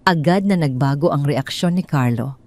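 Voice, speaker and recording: A Filipino woman narrates a scripted dramatic story. Her voice sits low for a woman. She delivers each phrase in a measured, theatrical way, as a storyteller would. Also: darkish tone.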